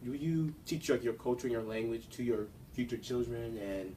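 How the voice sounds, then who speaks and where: A man's voice: medium pitch, normal speed, warm tone. A young man hosting an interview, asking questions in a casual way with fillers. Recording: distant mic, faint background noise.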